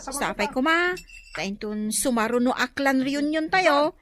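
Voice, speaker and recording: A woman's voice: high voice, expressive, unhurried. A woman playing a chatty reunion gossip. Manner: chatty, nosy questioning. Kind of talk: Ilocano radio drama comedy acting. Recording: noisy crowd behind.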